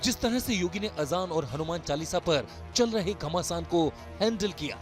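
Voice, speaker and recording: A man's voice: high voice, animated, moderate pace. A man narrating a scripted, dramatic news report as a voice-over. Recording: voice-over laid over loud music. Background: music.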